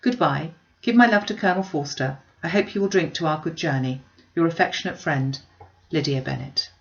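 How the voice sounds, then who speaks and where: A woman's voice: deep voice, brisk, creaky, highs cut off. A woman voicing a giddy, careless teenage girl from a classic novel, breezy, chatty and self-pleased. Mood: giddy, gleeful.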